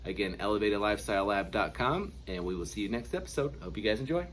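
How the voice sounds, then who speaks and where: A man hosting a casual podcast, excitable and chatty, laughing often and calling his guest dude and man. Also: on the low side, fast talker, a little hiss or hum. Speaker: podcast host.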